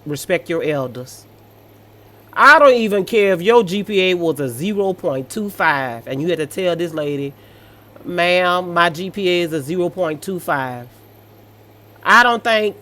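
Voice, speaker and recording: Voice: mid-range voice, fairly bright tone. An American speaker with a sassy, blunt, colloquial manner, reading stories aloud and reacting with off-the-cuff commentary.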